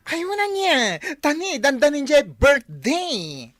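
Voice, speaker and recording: Woman acting a high, swooping Ilocano village gossip. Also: huge pitch swings, slow, no room sound, faint music behind, exaggerated voice. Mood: gossipy. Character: excitable, easily impressed gossipy village woman. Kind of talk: radio comedy drama acting.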